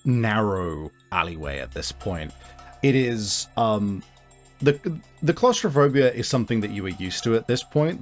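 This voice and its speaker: Low-voiced man running a tabletop game. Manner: halting, searching for words. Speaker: game master. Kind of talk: narrating a tabletop roleplaying game, improvised.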